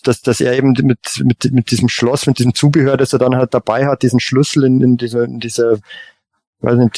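A man chatting casually on a fan podcast, haltingly, often repeating words as he thinks. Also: mid-range voice, darkish tone, no echo. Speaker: podcast panellist.

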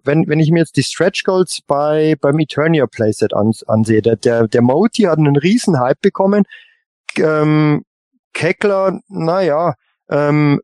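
Male podcast panelist, slightly high voice, very dry sound, casual and searching for words. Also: darkish tone, no echo. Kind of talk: casual discussion of collector topics.

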